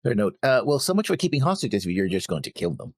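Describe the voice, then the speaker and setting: A man's voice: mid-range voice, sing-song, fast talker, husky, no room sound, studio quality. A man co-hosting an anime reaction show, casually going over his notes on an episode.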